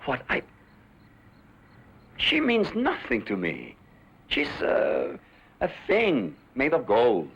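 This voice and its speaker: Defiant western outlaw, impassioned, wildly swinging pitch, husky, dull lo-fi recording. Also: male voice, huge pitch swings, takes it slowly, long pauses, exaggerated voice. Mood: agitated. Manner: impassioned, swinging between muttering and shouting. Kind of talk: dramatic western acting.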